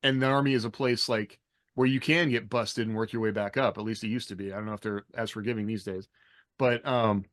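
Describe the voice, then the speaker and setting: A man's voice: on the low side, fairly even intonation, brisk, fairly bright tone, no echo, clean studio sound. An American man co-hosting a podcast in a casual, rambling, anecdotal way, peppering his talk with "like". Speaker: podcast co-host. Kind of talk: casual chat about military life and fiction.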